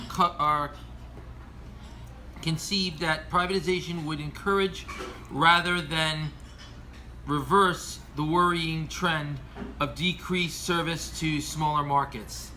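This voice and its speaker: Bright, higher-pitched American man reading commentary. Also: takes it slowly, a little hiss or hum, poor audio. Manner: earnest, formal reading with stumbles over words. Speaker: aviation commentator. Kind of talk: scripted opinion commentary read aloud.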